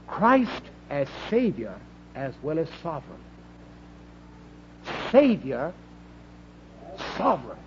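A man's voice: a little high, slight background noise. A man with a British accent preaching an evangelical sermon with impassioned delivery, repeating phrases for emphasis and putting rhetorical questions to his listeners. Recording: dull, lo-fi sermon recording.

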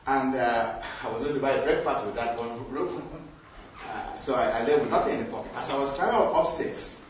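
An older man from Nigeria with a Nigerian accent, telling a personal story to a group in an unhurried way with small hesitations. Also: mid-range voice, slight background noise. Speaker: group participant sharing a story. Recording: echoey, far from the mic, dull.

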